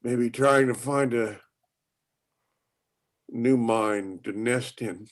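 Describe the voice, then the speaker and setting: Man as slow, halting elderly inventor. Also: mid-range voice. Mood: musing. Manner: halting, repeating words, ruminative. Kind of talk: acted dialogue in a play reading.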